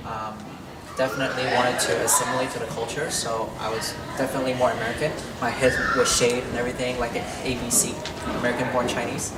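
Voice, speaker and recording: A man's voice: mid-range voice, level tone. Thoughtful man, native Cantonese speaker, far off. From Hong Kong. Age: adult. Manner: thoughtful, open, pauses with fillers. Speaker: interviewee. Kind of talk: casual interview answers. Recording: echoey, far from mic, loud crowd and noise.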